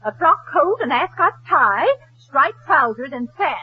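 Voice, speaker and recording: An actress playing a coy young woman suing over a mud splash, in a 1940s radio comedy, speaking slowly and sweetly. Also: high-pitched, expressive. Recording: muffled old radio broadcast with loud hiss.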